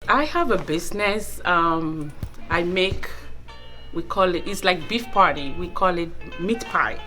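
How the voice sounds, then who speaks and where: A woman's voice: fairly low pitch, expressive, unhurried, sharp tone, low-quality recording. A woman from Nigeria with a Nigerian accent, a small business owner talking plainly in a documentary interview. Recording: music playing underneath.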